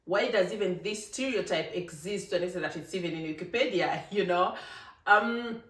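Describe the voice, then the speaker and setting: A vlogger from Africa, giving an emphatic, animated opinion monologue with laughing asides. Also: mid-range voice, moderate pace. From East Africa. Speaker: vlogger, channel host. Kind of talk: casual opinion monologue to camera. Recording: roomy, distant from the mic.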